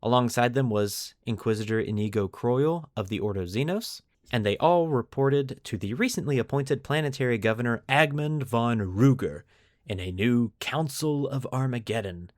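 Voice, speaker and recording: A man's voice: mid-range voice, animated, normal speed, dry recording, studio quality. A man hosting a podcast, narrating fictional war lore like a storyteller and stressing key phrases. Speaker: podcast host.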